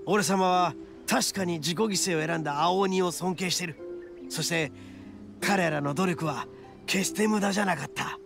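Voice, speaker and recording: A man voicing a boisterous, big-hearted young oni gang boss in a Japanese game, cocky and loyal, with swaggering rough speech. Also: sits high, normal speed, over music. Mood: earnest, proud. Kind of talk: Japanese anime game voice acting.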